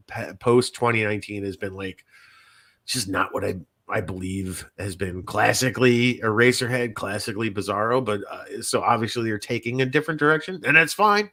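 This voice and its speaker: A man's voice: fairly low pitch, bright, forceful tone. A man hosting a podcast in casual chat, rambling and repeating himself, with some swearing. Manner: rambling, repetitive, frequently swearing. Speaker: podcast host.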